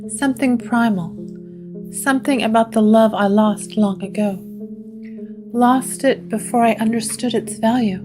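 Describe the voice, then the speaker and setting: A haunted ancient woman speaks slowly and falteringly, her voice fragile and hushed, as a woman plays her. Guitar music runs underneath. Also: fairly high pitch, steady tone, lightly acted.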